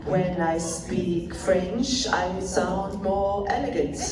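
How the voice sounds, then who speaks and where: A woman, speaking quickly and lightly as a panelist at a live event, often laughing between points. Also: lowish voice, over music. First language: German. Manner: lively, quick, laughing asides. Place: event hall with audience. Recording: roomy hall, far off, crowd noise.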